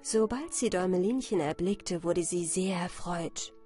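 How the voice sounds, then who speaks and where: A woman's voice: on the low side, measured pace, warm tone. A woman narrating a bedtime fairy tale as a scripted audiobook. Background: music.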